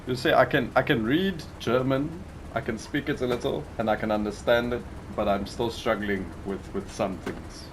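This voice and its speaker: A man's voice: medium pitch, fairly even intonation, moderate pace, hardly any pauses, slight background noise, rough recording. A South African man whose first language is Afrikaans, chatting casually over game voice chat and stopping often to search for words. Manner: hesitant, repeats words while searching for them. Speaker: gamer teammate. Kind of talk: casual voice chat while gaming.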